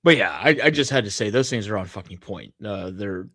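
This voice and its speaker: A man's voice: lowish voice, animated, a little fast, a bit bright, dry recording, studio quality. A man co-hosting a podcast in casual banter, sardonic and free with profanity. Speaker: podcast co-host.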